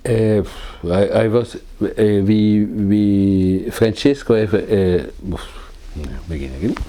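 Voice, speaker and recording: Slow, deep-voiced Swiss man searching for words. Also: warm tone. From Italian-speaking Switzerland. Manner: halting, searching for English words, frequent uh fillers. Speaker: cinematographer. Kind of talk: recorded interview.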